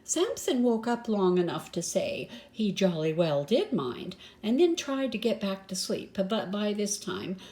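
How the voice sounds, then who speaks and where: A woman's voice: medium pitch, very animated, measured pace, few pauses, darkish tone. An older woman reading a children's story aloud expressively, with chatty asides to the listener. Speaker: storybook reader.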